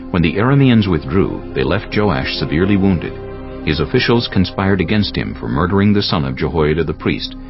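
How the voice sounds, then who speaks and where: A man with a gravelly voice giving measured, solemn narration for a dramatized audio Bible. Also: sits low. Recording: dull audio with soft music behind. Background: soft background music.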